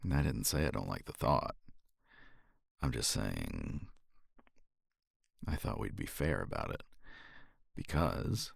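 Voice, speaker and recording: Very deep, gravelly, cocky flirt acted by a man. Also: sing-song, no echo, clean studio sound, heavily acted. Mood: confident, teasing. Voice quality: gravelly, husky, breathy. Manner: teasing, unhurried, murmuring with sighs and pauses. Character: cocky, flirtatious male competitor teasing a rival. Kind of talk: intimate romance ASMR roleplay. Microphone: close microphone.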